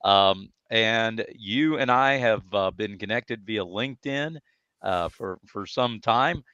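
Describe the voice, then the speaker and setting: A man's voice: lowish voice, slow, pushed and bright, clean tone, no room sound. An American man chatting as a podcast guest, telling stories in an enthusiastic, effusive and polite way. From United States. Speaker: podcast guest, data speaker. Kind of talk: casual conversational storytelling. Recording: dry, slightly dull audio.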